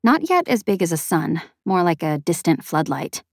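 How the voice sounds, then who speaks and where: A woman's voice: fairly low pitch, very animated, moderate pace, gravelly, dry recording, exaggerated voice. Young female lead read by a woman narrator, inquisitive, direct, casual audiobook dialogue, dry sound. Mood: curious. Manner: casual, questioning.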